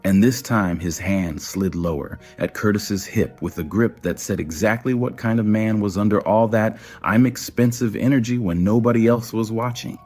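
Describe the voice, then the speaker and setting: A man's voice: on the low side, moderate pace, few pauses, darkish tone, soft background music. A man narrating a scripted audio story as its storyteller.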